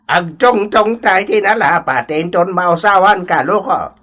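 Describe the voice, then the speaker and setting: A man's voice: high-pitched, measured pace, bright, forceful tone, exaggerated voice. An actor playing a grumbling, sarcastic man who scolds and taunts bluntly in a radio comedy, with an Ilocano accent. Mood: scolding.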